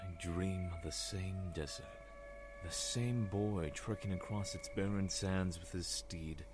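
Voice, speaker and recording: Man acting a deep-voiced brooding dream narrator. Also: measured pace, music in the background. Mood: brooding, solemn. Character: brooding man narrating his haunted recurring desert dreams. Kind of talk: dramatic first-person narration in audio drama.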